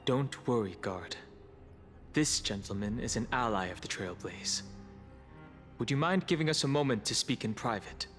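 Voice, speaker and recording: A man voicing a serious, level-headed young adventurer in a video game, speaking in a measured way. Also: mid-range voice, lively intonation, a bit bright, soft background music, natural voice. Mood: calm, reassuring. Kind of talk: English game voice acting.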